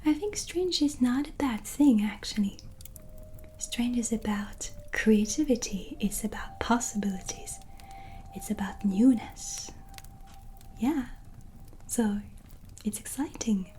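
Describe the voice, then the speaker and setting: Slow, whispery gentle witch played by a woman. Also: fairly high pitch, expressive, a little hiss or hum. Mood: calm, musing. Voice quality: soft, breathy, near-whisper. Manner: slow, hesitant, soothing. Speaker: ASMR roleplay performer. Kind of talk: soft-spoken ASMR roleplay. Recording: soft music behind. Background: relaxing music and ambience.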